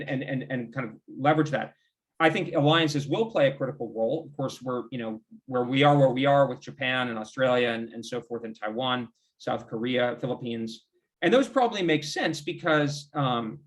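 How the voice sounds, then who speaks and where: American male foreign policy analyst, informal interview guest, discursive and self-correcting. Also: medium pitch. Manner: discursive, halting, qualifies and rephrases himself often.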